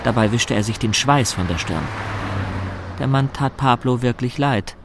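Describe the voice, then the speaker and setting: A man's voice: mid-range voice, moderate pace, background noise. A man reading scripted narration for a radio drama, telling the story in literary prose.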